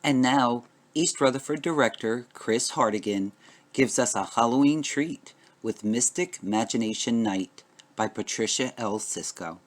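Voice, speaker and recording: A man's voice: mid-range voice, unhurried. A man acting as host, giving a scripted introduction and sign-off for a program of readings. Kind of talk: scripted announcement.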